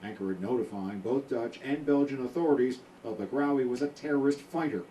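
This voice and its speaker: A man foreign correspondent voicing a news report in a measured reporter delivery. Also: mid-range voice, mellow. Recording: distant playback with light noise.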